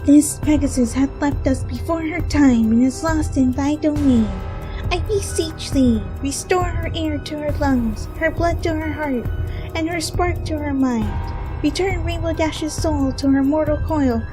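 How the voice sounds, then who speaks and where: A woman voicing an earnest, bookish unicorn princess spellcaster in a story reading, speaking formally and deliberately. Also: sits high, over music, voice pushed up, low-quality recording. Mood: solemn incantation.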